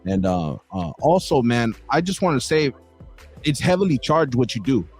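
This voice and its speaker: A man's voice: medium pitch, big pitch swings, normal speed, darkish tone, faint music behind. A man hosting a casual podcast interview, rambling with many restarts and calling his guest bro. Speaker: podcast host.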